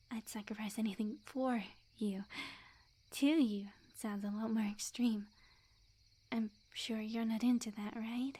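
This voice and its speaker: A woman playing a sweet, obsessive witch girlfriend in an ASMR roleplay, speaking softly and breathily in a coy, unhurried, often whispered voice. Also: fairly high pitch, slightly rough. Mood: eager, devoted.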